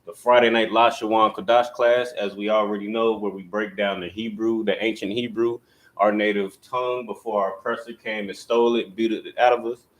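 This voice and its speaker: Low-voiced man teaching an online class. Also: little pitch movement, a little fast, low-quality recording. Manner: casual, loose delivery with repeated "you know what I'm saying"; speaks to viewers directly, giving instructions. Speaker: class instructor. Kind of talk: livestreamed religious language class.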